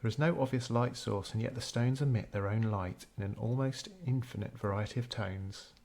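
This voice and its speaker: A man narrating a scripted guided meditation in a measured, unhurried storytelling way. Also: medium pitch, creaky, a little mellow.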